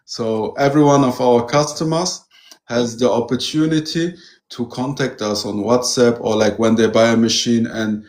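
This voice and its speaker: A man's voice: mid-range voice, steady tone, moderate pace, darkish tone. A man from Germany, a guest who runs a metal detector shop, talking casually and eagerly and often saying "you know". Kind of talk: casual interview chat. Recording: roomy echo.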